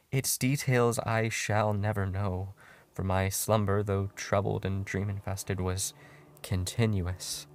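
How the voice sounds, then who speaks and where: A man giving a dramatic horror story narration in a brooding, halting way with pauses. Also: fairly low pitch, dry recording. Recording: music under the voice. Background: music.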